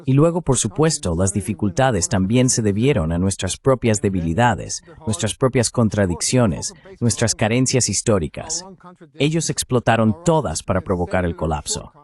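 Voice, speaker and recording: A man's voice: lowish voice, animated, moderate pace, a little mellow, close to an everyday voice. A man giving a translated voice-over of an interview guest's political analysis.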